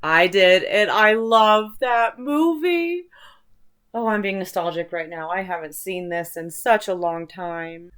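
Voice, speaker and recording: A woman's voice: mid-range voice, takes it slowly, a bit bright, clear, resonant tone. A woman hosting an online video, talking in a casual, rambling, chatty way with informal enthusiasm for what interests her. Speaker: host of an online video channel.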